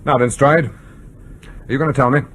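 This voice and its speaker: An actor playing a stern, menacing submarine commander in a radio drama, clipped, commanding and coldly polite. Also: male voice, mid-range voice, fairly even intonation, a little fast, fairly bright tone, a little hiss or hum, close to an everyday voice. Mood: cold menace. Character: stern, menacing male nuclear submarine commander interrogating a crewman. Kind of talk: broadcast radio drama acting.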